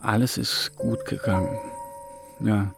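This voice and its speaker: An actor playing a secretive, burdened male accomplice in a radio drama, speaking in a deliberate way. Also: fairly low pitch, fairly even intonation, creaky. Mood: weary, evasive. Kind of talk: radio drama acting with intimate inner monologue. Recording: music under the voice.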